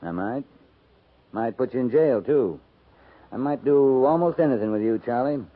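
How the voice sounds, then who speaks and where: An actor playing a firm, dry-witted army captain in a 1950s radio drama, talking in a quiet, wry drawl. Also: male voice, medium pitch, lively intonation, moderate pace, slight background noise, lightly acted. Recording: tinny lo-fi 1950s radio broadcast.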